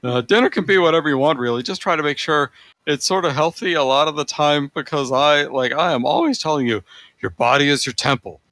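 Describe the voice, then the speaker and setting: A man's voice: fairly high pitch, fairly quick, fairly bright tone, dry recording. A man reading amateur writing aloud for comedy, with an earnest, casual delivery. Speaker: podcast reader.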